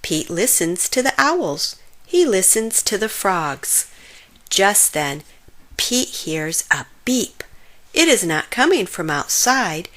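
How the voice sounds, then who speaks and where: A woman narrating a children's picture book in an expressive, deliberate storytelling manner for young listeners. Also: medium pitch. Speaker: read-aloud storyteller for children.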